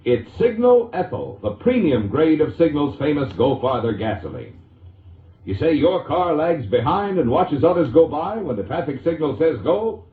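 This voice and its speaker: Old time radio ad guy, american, really swoopy emphatic sales pitch, kinda muffled old recording. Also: very animated, moderate pace. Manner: polished, emphatic salesman delivery. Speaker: radio commercial announcer. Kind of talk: scripted 1940s radio advertising announcing. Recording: vintage radio recording, roomy echo, muffled lo-fi.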